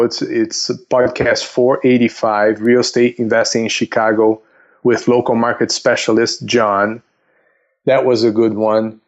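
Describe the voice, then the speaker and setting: A man of medium pitch is heard through slightly muffled, lo-fi audio. He explains things casually as a podcast guest, methodical and polite, with a halting flow. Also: a little mellow. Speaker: investment counselor, podcast guest.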